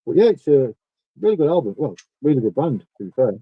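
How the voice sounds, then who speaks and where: A man in his forties chatting casually on a video call and talking about albums in a relaxed way. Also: mid-range voice, fast, slightly rough, dry recording, narrow, tinny audio. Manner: laid-back, talks through records. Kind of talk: casual chat. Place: home room with a dark curtain behind. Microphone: webcam video call.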